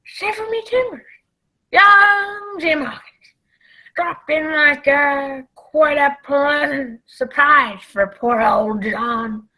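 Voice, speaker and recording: A woman's voice: sits high, slow, pushed and bright, exaggerated voice, low-quality recording. A woman in a radio play acting a wily, menacing old male pirate leader with blustering, old-fashioned seafaring talk. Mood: sly mock-friendly.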